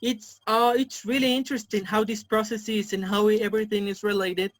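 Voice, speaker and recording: A man in his twenties from Mexico, a native Spanish speaker with a Mexican Spanish accent, answering interview questions haltingly with many fillers. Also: high-pitched, flat delivery. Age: 20s. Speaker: interview guest. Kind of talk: casual video interview.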